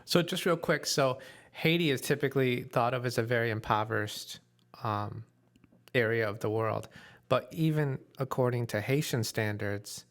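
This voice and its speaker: A man's voice: mid-range voice, normal speed. Hesitant male interviewer. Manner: casual, hesitant, with frequent ums. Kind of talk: interview questions.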